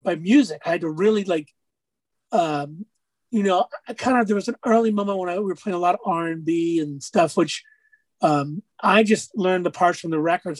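A man with a raspy speaking voice, a musician guest on an interview, talking casually and rambling through stories with lots of fillers. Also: sits high, dry recording, poor audio. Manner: rambling storyteller, many fillers.

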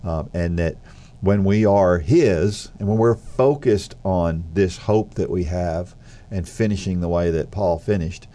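Man, low voice, congested and nasal, dark tone, thoughtful podcast co-host. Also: unhurried. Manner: thoughtful, relaxed, frequent affirmations. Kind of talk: conversational faith podcast.

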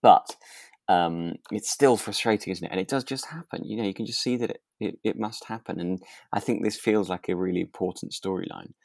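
Deep-voiced podcast co-host, gently chatty. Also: brisk, creaky, no room sound, studio quality. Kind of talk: relaxed conversational discussion.

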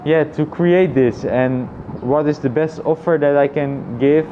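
A man thinking aloud in a casual, reflective personal vlog, with halting phrasing and frequent repetition. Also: a little high, highs cut off, background noise. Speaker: vlogger.

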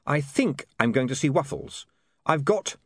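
An earnest young newspaperman from a comic novel, as a male narrator plays him. He is formal and exact, easily exasperated, with a very mobile pitch. The sound is dry and close. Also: animated, unhurried, slightly rough, no echo. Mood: brisk. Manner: precise, formal, indignant.

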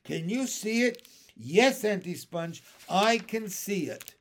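A man's voice: high voice, expressive, slow pace, pitched above the performer's own voice. A man voicing a fat, greedy old aunt with a pompous, fussy manner. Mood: scheming.

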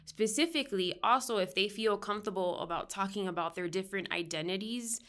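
Bright, smooth-voiced woman counselor. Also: medium pitch, brisk. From Miami, United States. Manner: explanatory, with frequent "like" and "um" fillers. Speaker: counseling professor and licensed counselor. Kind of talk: conversational podcast interview.

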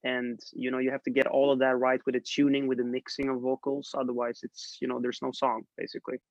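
A man's voice: medium pitch, fast, gravelly, no echo. A young man from Sweden, a songwriter guest, chatting casually on a video call and laughing often. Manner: relaxed, laughs often, trailing fillers. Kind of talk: casual interview chat. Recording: dull lo-fi dry sound.

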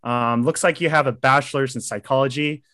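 A man's voice: mid-range voice, fairly even intonation, fairly quick, sharp tone, dry recording. Male podcast host, casual and upbeat, dry close mic. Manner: upbeat, friendly, fillers. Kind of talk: podcast interview.

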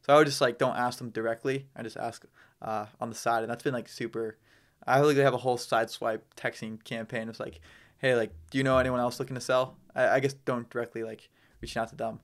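A man's voice: medium pitch, little pitch movement, quick pace. A man chatting casually as a podcast guest, explaining his business in loose, slangy, conversational phrases. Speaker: podcast guest, real estate business owner.

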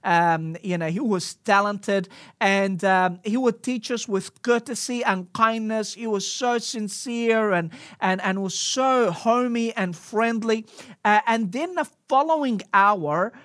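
A man's voice: high-pitched, takes it slowly, fairly bright tone, dry recording, studio quality. A man telling a personal story in a casual, hesitant way, full of fillers and restarts, speaking English as a second language. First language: a language other than English.

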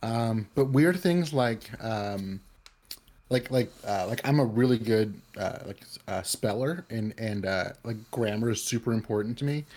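A man's voice: fairly low pitch, a little slow, darkish tone. A man from the Bay Area talking casually in an interview, rambling with lots of fillers and restarts. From California Bay Area. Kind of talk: casual conversation.

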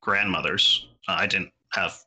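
Low, husky man chatting casually. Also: fairly even intonation, a little slow, bright, forceful tone. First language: Portuguese. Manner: rambling, heavy on filler words, laughs often. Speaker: podcast guest. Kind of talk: casual interview chat.

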